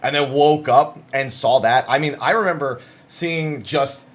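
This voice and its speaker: A man's voice: mid-range voice, unhurried. A man giving casual, conversational news commentary as a video host, talking through summaries with emphatic, wordy asides.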